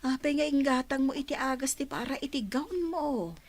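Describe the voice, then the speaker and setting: A woman acting as a gentle, doting older mother in a radio drama. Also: sits high, animated, moderate pace. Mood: tender.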